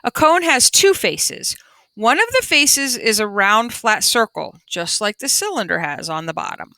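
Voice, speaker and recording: Bright-voiced woman teacher reading to young children. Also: on the high side, lively intonation, a little slow, dry recording. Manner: simple, instructive explanations for young children. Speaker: primary school teacher. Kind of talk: reading a children's book aloud with explanatory asides.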